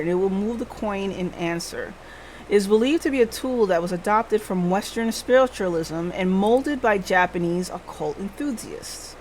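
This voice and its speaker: A woman's voice: medium pitch, a little mellow, a little hiss or hum, rough recording. Woman streamer reading game text aloud. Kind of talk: live playthrough, reading visual novel text aloud. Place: live stream with faint background sound.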